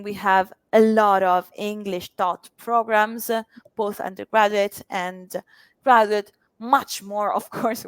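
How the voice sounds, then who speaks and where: A woman's voice: mid-range voice, clean tone, no echo. A woman whose first language is Italian speaks as a university representative in an interview, hesitating often with fillers. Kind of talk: interview answers.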